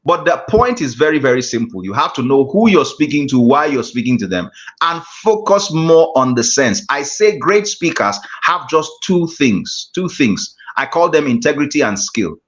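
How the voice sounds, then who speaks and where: Nigerian man teaching, slightly high voice. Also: big pitch swings. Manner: measured, repeats key phrases for emphasis. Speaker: guest speaking coach. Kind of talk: teaching a public-speaking class. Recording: muffled lo-fi audio.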